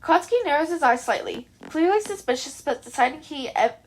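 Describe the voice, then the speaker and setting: A woman with a high voice narrates fan fiction. Her reading is expressive, in the manner of an audio story told by a hobby reader. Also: a little fast, slight background noise.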